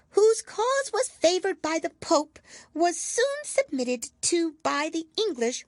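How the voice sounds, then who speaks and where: A woman's voice: extremely high pitch, no echo, studio quality, voice pushed up, heavily acted. A woman voicing a self-important, easily offended storybook mouse who lectures with pompous, crossly polite authority. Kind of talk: storybook character voice.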